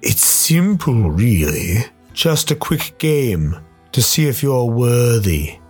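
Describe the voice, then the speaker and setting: A man playing a sly, towering demonic gardener in a radio play, courteously menacing, teasing and unhurried. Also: medium pitch, very animated, breathy edge, heavily acted. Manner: unhurried, teasing, mock-polite. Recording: music behind the voice.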